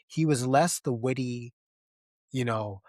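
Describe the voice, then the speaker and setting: Male podcast host, mid-pitched voice, casual film discussion, conversational, checks for agreement, very dry close sound. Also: slow, a little mellow, no room sound.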